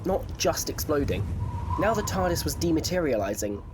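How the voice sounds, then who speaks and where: A man's voice: a little high, big pitch swings, normal speed, very noisy. A man narrating a scripted story for an audio drama in a wry, playful storytelling manner.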